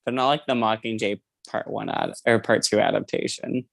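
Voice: mid-range voice, steady tone, moderate pace, creaky. A podcast co-host casually discussing books in an emphatic way, often repeating phrases.

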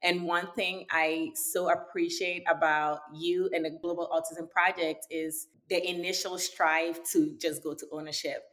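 Low-voiced woman from Ghana hosting. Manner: warm, earnest, appreciative. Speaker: podcast host. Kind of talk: podcast interview.

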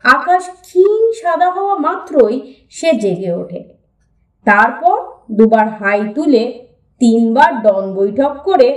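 A woman narrating a humorous story for an audiobook in an expressive, storytelling way. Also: high voice. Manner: lively, expressive storytelling reading. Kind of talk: reading a humorous story aloud. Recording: roomy echo, voice a bit distant from mic.

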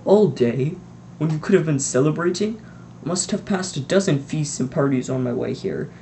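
A reader doing a calm, gentle old wizard. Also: mid-range voice, normal speed, slight background noise, lightly acted. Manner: gentle, calm and mildly amused. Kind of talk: audiobook reading.

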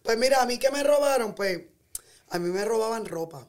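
A woman's voice: fairly high pitch, expressive, fairly quick, a little mellow. Blunt woman, casual swearing. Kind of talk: casual chat.

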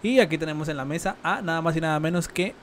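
A young man with a Mexican accent, co-hosting a casual podcast, relaxed and slangy with lots of filler words. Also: on the high side, quick pace, slight background noise. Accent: Mexican Spanish. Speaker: podcast co-host.

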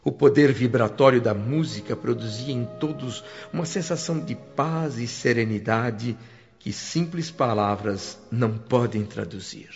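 Male actor as reverent storyteller in a Brazilian radio drama. Solemn, expressive, wonder-filled; slightly lo-fi, faint music bed. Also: mid-range voice, a little slow, close to an everyday voice. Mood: awed, serene. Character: André, reverent male spirit newcomer recounting his awe. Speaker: narrator and lead actor.

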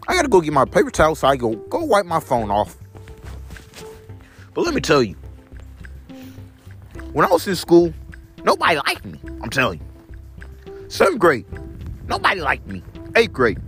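A young man with a deep voice vlogging to his phone camera while walking outdoors in the rain. He repeats his phrases over and over and keeps calling his viewers "guys". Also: huge pitch swings, normal speed, pauses often, a bit bright, faint music behind. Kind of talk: rambling phone vlog on a walk.

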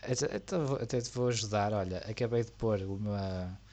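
A man chatting casually as a co-host, relaxed and joking, with frequent fillers. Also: lowish voice, brisk. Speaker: co-host of a motorsport tech talk. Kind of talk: casual chat.

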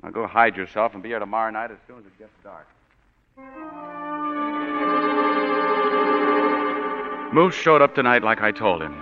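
An actor playing a wisecracking, hard-boiled private detective with dry, clipped narration, in 1940s radio acting. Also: male voice, on the low side, lively intonation, fast, faint music behind. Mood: narrating. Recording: dull reverberant 1940s radio broadcast.

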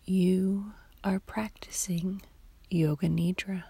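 A woman guiding a sleep meditation in a soft, half-whispered, soothing voice, leaving long pauses between short phrases. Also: fairly low pitch, fairly even intonation, gravelly, breathy edge, no room sound. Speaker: meditation guide.

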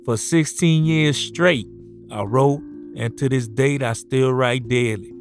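A middle-aged man from New Orleans, a poet reading a measured self-introduction and a poem. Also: mid-range voice. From New Orleans, USA. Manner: measured, spells words out. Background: soft guitar music.

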